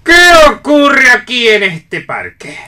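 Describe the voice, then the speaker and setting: Bright, brash peanut hawker. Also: female voice, a little high, wildly swooping pitch, takes it slowly, full character voice. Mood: grumbling. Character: brash peanut hawker with monkey tail, goat face. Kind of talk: read radio theatre.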